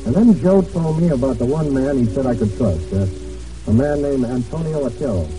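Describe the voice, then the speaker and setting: An actor in an old radio drama playing a hunted American Navy man in hiding, weary but determined, speaking in a halting, earnest way. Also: mid-range voice, lively intonation, natural voice. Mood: grim resolve. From United States. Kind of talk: old radio drama acting, first-person narration and dialogue. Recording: old radio broadcast, distant, music behind.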